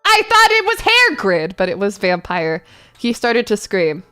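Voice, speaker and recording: A woman's voice: mid-range voice, huge pitch swings, unhurried, pushed and bright, clean tone, soft background music, full character voice. A woman voicing a melodramatic, angsty gothic teenage girl in a theatrical reading of fan fiction. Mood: alarmed.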